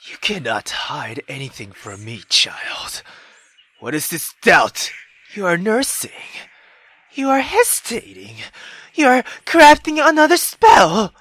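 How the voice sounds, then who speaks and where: A bitter, menacing mother in a visual novel, acted out by a man. Her voice is high and swings widely as she domineers over her child with deliberate intensity. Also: big pitch swings, a bit bright, no room sound, full character voice.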